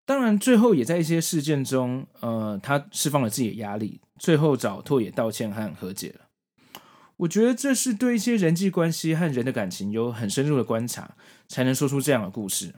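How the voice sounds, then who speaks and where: A man's voice: medium pitch, sing-song, fairly quick, a little mellow. A man hosting a podcast, narrating and commenting on a story from prepared notes in an explanatory way. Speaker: podcast host.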